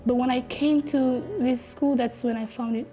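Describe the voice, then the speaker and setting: A woman's voice: high voice, fairly even intonation, moderate pace, mellow. A young woman from Somalia, speaking as a student giving a personal interview. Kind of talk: interview testimony.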